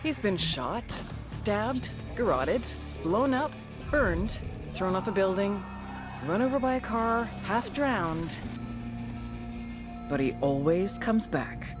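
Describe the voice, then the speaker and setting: Female intel agent, sardonic, hard-boiled, animated pitch, scripted game narration, background music. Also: slow. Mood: sardonic, storytelling. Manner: wry, foul-mouthed, hard-boiled. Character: tough, sardonic female intelligence agent briefing on cartel bosses. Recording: music behind the voice.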